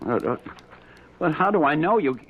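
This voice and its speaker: An actor in a 1970s radio drama playing a blustering old colonial smuggler, folksy, protesting and stammering in desperation. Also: male voice, fairly high pitch, animated, normal speed. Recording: dull, band-limited 1970s radio broadcast.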